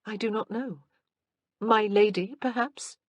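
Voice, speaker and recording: A woman's voice: medium pitch, steady tone, a little slow, no room sound, pitched above the performer's own voice, close to an everyday voice. A woman voicing an earnest, dignified young woman teacher in an audiobook.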